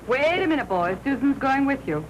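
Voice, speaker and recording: An actress playing a brisk, sardonic veteran newspaperwoman in a 1940s film noir. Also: high-pitched, sing-song. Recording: noisy 1940s film soundtrack.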